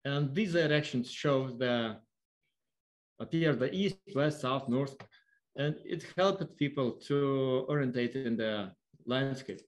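A man with a Lithuanian accent gives an explanatory online lecture in halting, non-fluent English. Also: medium pitch. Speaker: observatory worker giving a lecture. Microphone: online video call.

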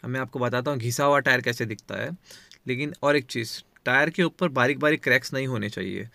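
A man's voice: mid-range voice, quick pace, gravelly, pushed and bright, no echo. A man, talking viewers through car maintenance checks beside his car outdoors, recorded on a handheld phone. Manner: explanatory, addresses viewers as friends. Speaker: car vlogger. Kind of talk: how-to car maintenance walkthrough.

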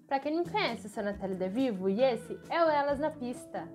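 A young woman with a Brazilian accent hosting a motorsport channel, giving emphatic, expressive news commentary to camera from a room at home. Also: on the high side, brisk, soft background music. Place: small furnished room at home, indoors.

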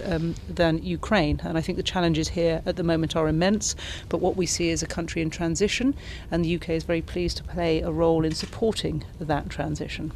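A middle-aged British woman answering interview questions as an ambassador, in a measured, articulate way, seated indoors with a handheld mic. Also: on the low side, a little mellow, slight background noise. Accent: British English. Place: indoor reception room, seated on a sofa. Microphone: handheld interview mic held by interviewer.

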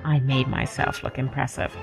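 A woman's voice: rumbling low, little pitch movement, normal speed, vocal fry, music in the background. A woman playing a genteel, sly cat who narrates a radio story with polished, wry formality. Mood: sly, flattering.

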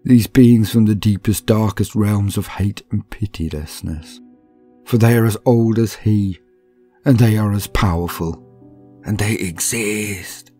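A man reads as a tormented man, despairing and bitter about the faith he has lost. His voice is deep and husky, and he laments slowly in a heavy tone. Faint music plays underneath. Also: mellow. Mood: anguished. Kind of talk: dramatic audiobook reading.

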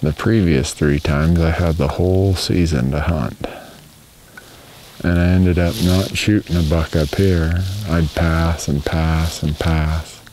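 A man in his fifties talks slowly and easily in a very deep voice. He jokes wryly between stretches of hushed whispering. He is recorded outdoors in a snowy mountain forest on a clip-on mic. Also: fairly even intonation, slight background noise. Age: 50s. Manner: easygoing, wry, drops to a whisper near game. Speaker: hunting show host. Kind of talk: casual trail talk on a hunt.

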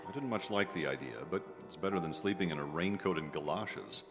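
A man's voice: low-pitched, a little fast, a bit bright, faint music behind. A middle-aged man playing a jaded, sardonic kept writer, plain-spoken, in old-time radio drama style. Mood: wry, narrating. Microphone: vintage-style stand microphone. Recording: live theater stage, long hall reverb.

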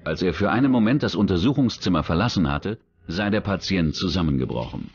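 A man's voice: deep voice, lively intonation, brisk, breathy edge, dry recording, highs cut off. A man narrating a true-crime documentary from a script. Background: background music.

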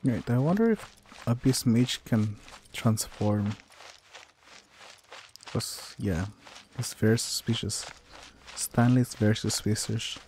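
Very slow, casual gamer reactions. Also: mid-range voice, a little mellow, slight background noise. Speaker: gaming video creator.

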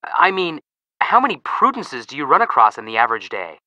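Bright, bouncy, playful American kid brother. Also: male voice, a little high, wildly swooping pitch, fairly quick, no room sound, dull audio, heavily acted. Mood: teasing. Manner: playful, enthusiastic, joking. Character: upbeat, teasing kid brother, amateur teen detective. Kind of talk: video game voice acting.

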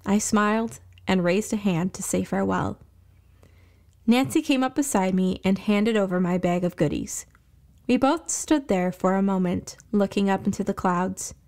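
A woman's voice: medium pitch, normal speed, darkish tone. A woman reading scripted narration as the host and storyteller of a fiction podcast.

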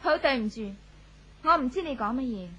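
A woman's voice: high voice, expressive, fairly quick, sharp tone, husky, heavily acted. A woman acting a composed, dismissive character in a 1970s radio drama, restrained and unruffled, in Hong Kong Cantonese. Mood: cool dismissive. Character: cool, composed woman brushing off an accuser. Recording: faint noise on old radio recording.

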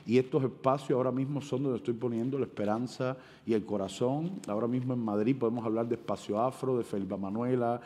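Older Cuban man, dark timbre, headset mic, passionate halting panel talk, long reverb. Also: mid-range voice. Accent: Cuban Spanish. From Cuba. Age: 60s. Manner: animated, gestures widely, many hesitations. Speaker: panel speaker. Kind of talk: impassioned panel debate. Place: stage of a large auditorium.

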